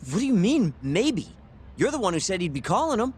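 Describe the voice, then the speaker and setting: An actor playing a brash, impatient young sidekick in a video game, casual and snippy. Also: male voice, sits high, sing-song, a little fast, darkish tone, a little hiss or hum. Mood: irritated. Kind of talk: English video game dub acting.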